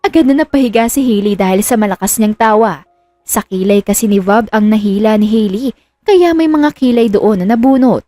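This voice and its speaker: A woman's voice: mid-range voice, moderate pace, warm tone, no echo. A woman reading scripted narration of a romantic drama as the storyteller. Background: music.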